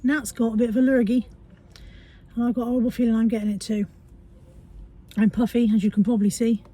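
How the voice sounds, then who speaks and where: A woman's voice: a little high, level tone, fast talker, dark tone, breathy edge. Stuffy-voiced chatty British woman vlogging. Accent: British English. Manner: chatty, tagging questions onto her remarks. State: congested, coming down with a cold. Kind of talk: casual chatty vlog. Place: in a car.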